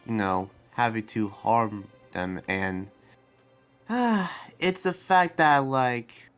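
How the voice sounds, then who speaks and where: A man playing a kind, idealistic young demon slayer and researcher in an improvised roleplay, speaking earnestly and haltingly. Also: mid-range voice, expressive, fairly bright tone, soft background music. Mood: pensive. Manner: hesitant, earnest, halting with restarts.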